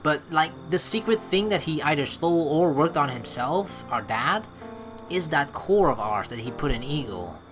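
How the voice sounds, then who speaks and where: A woman with a low voice hosts a game playthrough in a rambling, casual way with some swearing. Her pitch swings a lot, and music plays clearly behind her. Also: lively intonation, normal speed. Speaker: let's play host. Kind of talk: game commentary mixed with reading dialogue aloud.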